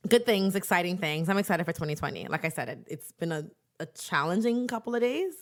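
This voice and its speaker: A young woman co-hosting a casual podcast, telling animated stories with lots of "like" fillers. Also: on the low side, fairly quick. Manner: animated storyteller, many "like" fillers. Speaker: podcast co-host; teacher. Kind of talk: casual chat.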